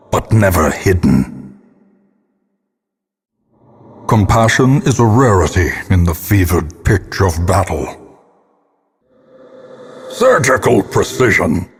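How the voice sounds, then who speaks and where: An actor voicing a grim, ominous old ghostly ancestor as a gothic horror game narrator, solemn and theatrical, with a gravelly, husky voice. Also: male voice, fairly low pitch, expressive, full character voice. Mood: solemn relief. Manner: solemn, portentous, deliberate delivery.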